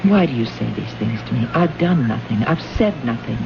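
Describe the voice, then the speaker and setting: A woman's voice: a bass voice, expressive, moderate pace, vocal fry, over music, heavily acted. An actress playing an earnest, puzzled woman diplomat of the far future in old-time radio drama style, questioning with emphasis. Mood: puzzled, wounded. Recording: noisy, muffled old radio recording.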